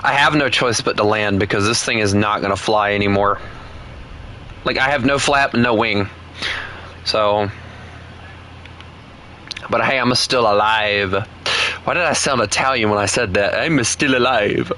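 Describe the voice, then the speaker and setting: A man's voice: lowish voice, measured pace, long pauses, pushed and bright. A man streaming a flight game with a friend, chatting casually in a playful, teasing way. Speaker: gaming streamer. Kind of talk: casual gameplay chat with a friend.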